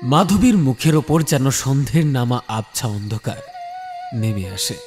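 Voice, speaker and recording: A man's voice: mid-range voice, expressive, unhurried, a little mellow, slight background noise. A man giving a dramatic scripted narration as the storyteller of a horror tale. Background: music bed.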